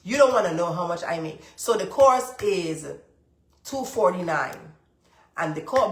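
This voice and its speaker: A woman's voice: fairly low pitch, a bit bright. A congested woman hosting a live session who gives motivational money advice. She speaks emphatically, repeats her key points and talks straight to her viewers. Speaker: livestream host. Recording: roomy, echoey room sound.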